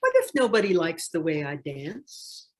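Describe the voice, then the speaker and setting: A woman's voice: on the low side, huge pitch swings, unhurried, pitched below the performer's own voice. A woman voicing an anxious young child who asks plaintive, worried questions in a picture-book read-aloud.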